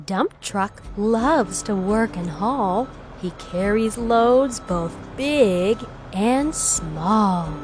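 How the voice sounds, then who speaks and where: A woman with a slightly high voice reads a children's bedtime story very slowly. Her delivery is expressive and gentle, with stretched words and acted emphasis. Music plays behind her. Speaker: storybook reader.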